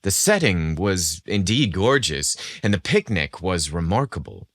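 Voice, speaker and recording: Deep-voiced man narrating a story. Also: very animated, a little slow, no echo, studio quality. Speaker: podcast host and story narrator.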